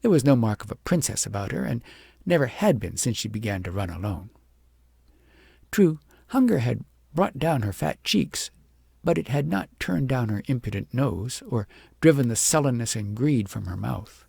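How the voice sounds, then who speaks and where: A man reading a children's story aloud as a scripted, expressive audiobook narrator. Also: mid-range voice, normal speed, vocal fry, slightly rough, dry recording, studio quality.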